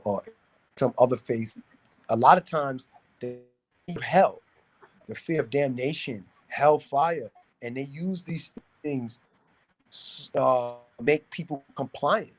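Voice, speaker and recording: Halting man. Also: mid-range voice, husky, low-quality recording. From New York City, United States. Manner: halting, repeats words, searching for phrasing. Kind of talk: casual discussion, opinionated talk.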